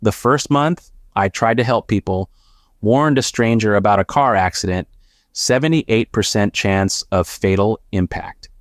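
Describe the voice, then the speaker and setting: An actor playing a sardonic, haunted former risk analyst in an audio drama, narrating in a measured, wry, confessional way. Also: male voice, fairly low pitch, no echo, clean studio sound, close to an everyday voice. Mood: weary, bitter. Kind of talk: first-person dramatic audio fiction narration.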